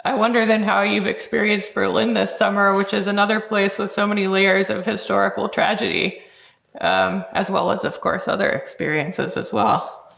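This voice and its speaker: Creaky-voiced woman interviewer in an echoey room. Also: mid-range voice, steady tone, normal speed, a bit bright. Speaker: interviewer, podcast host. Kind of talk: thoughtful interview questions. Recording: roomy, echoing sound.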